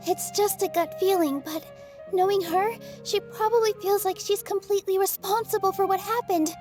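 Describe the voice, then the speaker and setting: A gentle, earnest young dancer in a game, soft-spoken and polite. Her voice sits very high, with faint music behind it. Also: fairly even intonation, normal speed. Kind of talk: video game voice acting.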